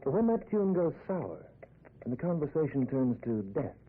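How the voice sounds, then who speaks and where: An actor playing an ominous narrator of an old-time radio suspense tale, deliberate and dramatic. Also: male voice, fairly high pitch, sing-song, husky, phone-quality sound. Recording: thin, noisy old radio recording.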